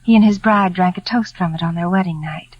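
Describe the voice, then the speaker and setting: An actress playing a shy, gentle young maid in a 1940s radio drama, soft-spoken, polite and wistful. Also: female voice, fairly low pitch, brisk, no echo, lightly acted. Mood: polite, eager. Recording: muffled vintage radio recording.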